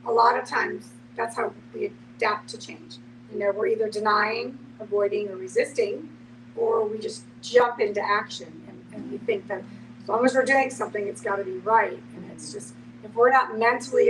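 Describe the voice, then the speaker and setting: A woman leading a group discussion, speaking in a reflective, explanatory way as a host. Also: medium pitch. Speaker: host and care services professional. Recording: noisy room, distant mic, hum.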